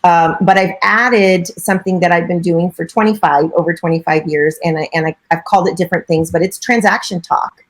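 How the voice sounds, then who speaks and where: A woman's voice: lowish voice, fairly even intonation, a little fast. A middle-aged woman, a real estate broker and coach, giving chatty, earnest interview answers with frequent filler phrases.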